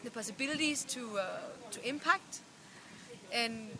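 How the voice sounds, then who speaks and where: Casting: young Danish woman, higher voice, halting informal answers, noticeable ambient noise. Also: animated. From Denmark. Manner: hesitant, searching for words. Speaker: youth organization representative. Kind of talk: casual interview answer. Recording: clear ambient background noise.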